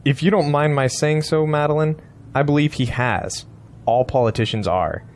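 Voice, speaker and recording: An actor playing a confident, persuasive campaign strategist, courteous yet blunt, in an audio drama. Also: male voice, a little high, moderate pace, close to an everyday voice.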